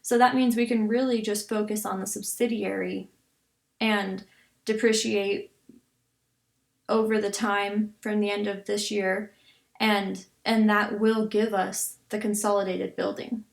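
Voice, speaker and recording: A woman's voice: mid-range voice, level tone, a little slow, creaky. A woman instructor giving an explanatory tutorial, reading and thinking aloud with some self-correction. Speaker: accounting instructor. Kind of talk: explanatory tutorial, reading then working through a problem.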